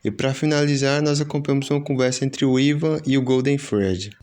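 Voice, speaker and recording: A man's voice: mid-range voice, steady tone, brisk, over music. A man with a Brazilian accent narrating horror story recaps in an informal, explanatory, conversational way. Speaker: YouTube narrator.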